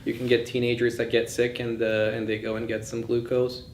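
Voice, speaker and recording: A man's voice: medium pitch, monotone, brisk, darkish tone. A man giving an informal lecture, thinking aloud in a conversational and opinionated way. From born in Bulgaria, grew up in several countries. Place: indoors in a session room. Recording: echoey room, faint background noise.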